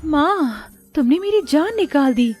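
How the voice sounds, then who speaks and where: A gentle, poor young daughter-in-law from a cartoon moral tale, acted by a woman. Her high voice is sweet and earnest, and music plays behind it. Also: big pitch swings, unhurried. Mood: surprised.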